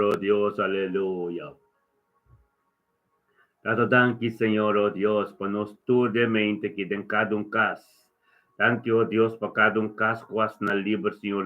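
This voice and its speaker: Male preacher, lowish and monotone, leading improvised fervent prayer with repetitive chanting invocations; thin telephone-like audio. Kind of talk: fervent extemporaneous group prayer. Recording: thin, narrow phone-like sound.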